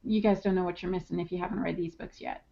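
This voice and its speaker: A woman's voice: lowish voice, steady tone, fast talker, creaky, warm tone. A woman talking in a casual, chatty style as a podcast co-host. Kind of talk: casual chat. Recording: dull, band-limited audio.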